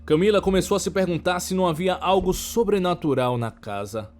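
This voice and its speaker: A man with a Brazilian accent narrating a scripted horror story in a measured, dramatic way. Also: high voice, lively intonation, a little mellow, faint music behind.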